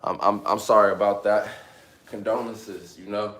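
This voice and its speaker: A young man in his late twenties, chatting on a live stream with a low voice. He keeps coming back to "you know what I mean" and says his encouraging lines more than once. The room around him is noticeably echoey. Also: little pitch movement, normal speed, a bit bright. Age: 20s. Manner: repeats phrases, motivational, fillers like "you know what I'm saying". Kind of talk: casual live stream chat.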